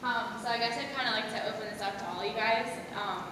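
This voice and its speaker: A teenage young woman, a student moderator, informally putting a question to a panel. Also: fast talker. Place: school theater stage. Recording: echoey hall, distant, noisy rumble.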